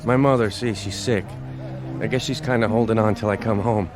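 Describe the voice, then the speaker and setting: An actor playing a young American soldier in a radio drama, earnest, deferential and a little hesitant. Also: male voice, on the low side, fairly even intonation, brisk, over music, natural voice. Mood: earnest, polite.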